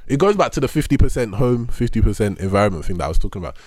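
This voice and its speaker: A man's voice: lowish voice, very fast, no echo. A young man from the UK, chatting casually and laughing often through slangy stories. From United Kingdom. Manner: laughs a lot, animated slang-heavy storytelling. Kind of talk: casual podcast chat.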